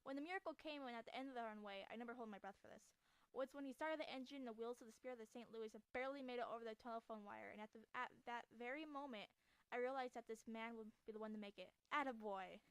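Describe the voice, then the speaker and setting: A young woman playing a chipper 1920s radio news co-host, speaking in a perky, brisk way with period slang. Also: fairly high pitch, clear, resonant tone, no echo, lightly acted, rough recording. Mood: admiring. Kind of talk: scripted 1920s radio news show acting.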